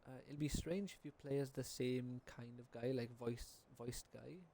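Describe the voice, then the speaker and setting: Mellow, casual young man doing game commentary. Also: medium pitch, fairly even intonation, measured pace, gravelly. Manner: informal, tentative, rambling. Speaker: gaming video creator.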